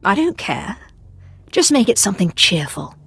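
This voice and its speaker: An actress playing a cool, commanding Sith acolyte interrogating someone in a video game, controlled, clipped and authoritative. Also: female voice, medium pitch, big pitch swings, normal speed, vocal fry, slightly rough, dry recording, full character voice. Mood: mocking.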